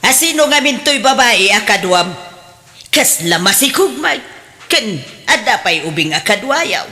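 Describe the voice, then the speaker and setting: A woman's voice: medium pitch, big pitch swings, a little slow. A woman playing a domineering, nagging middle-aged mother of a grown son in an audio drama, with an Ilocano accent. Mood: indignant, scolding. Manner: nagging, sharp-tongued, indignant. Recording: long reverb, faint background noise.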